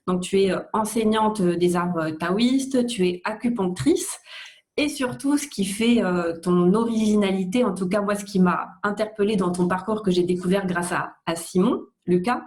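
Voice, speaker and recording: A woman's voice: medium pitch, moderate pace, darkish tone. A woman hosting an interview, speaking hesitantly with many fillers and restarts. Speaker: interviewer, host. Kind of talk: casual interview.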